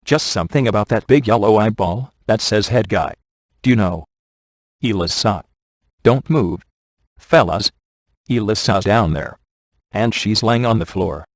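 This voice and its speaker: An actor playing a sardonic, laid-back male ghost hunter in a video game, delivering wry scripted quips in a casual deadpan manner. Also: fairly low pitch, measured pace, long pauses, no echo, studio quality. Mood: wry, wary. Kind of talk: scripted game dialogue, dry wisecracks.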